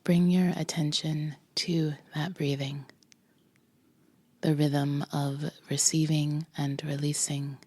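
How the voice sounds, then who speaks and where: A woman leading a guided meditation with a soft, breathy voice, gently instructing in short phrases with pauses between them. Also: low voice, little pitch movement, dry recording. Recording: music behind the voice. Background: music.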